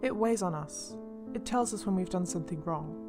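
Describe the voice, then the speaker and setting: A woman playing the serious host of a true-crime mystery show, giving measured, ominous scripted narration. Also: lowish voice, natural voice. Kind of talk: scripted narration in a radio drama. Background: music under the voice.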